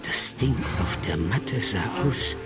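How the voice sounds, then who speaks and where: An actor playing a wry old village hunter in his seventies, narrating a radio drama in earthy, unhurried storytelling. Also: lowish voice, animated, over loud music. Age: older.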